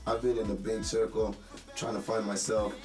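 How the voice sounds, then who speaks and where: A young man from Nigeria, a musician, talking about his music in a relaxed, halting way with many pauses, over a music bed in a roomy space. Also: lowish voice. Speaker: musician interviewee. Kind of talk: casual interview talk. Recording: roomy sound with music behind.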